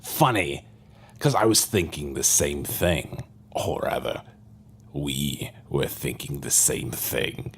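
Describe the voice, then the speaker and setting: A man's voice: rumbling low, lively intonation, slow, creaky, slightly rough, exaggerated voice. An actor playing a menacing, sardonic demon in a possessed man's body in an audio horror drama. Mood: gloating. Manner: sardonic, taunting, theatrical. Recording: music under the voice.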